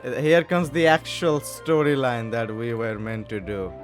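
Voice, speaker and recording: A man's voice: medium pitch, fairly even intonation, a little slow. A man giving casual let's-play commentary, informal and exclamatory, often repeating himself. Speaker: gamer commenting on his playthrough. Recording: game music behind the voice.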